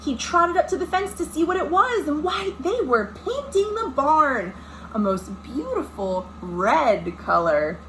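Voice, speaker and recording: High-pitched woman reading a children's story. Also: unhurried. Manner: expressive, dramatic emphasis, addresses children directly. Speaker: children's librarian hosting storytime. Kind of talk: read-aloud children's picture-book storytime with asides to young listeners. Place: indoors at home. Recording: noisy amateur home recording.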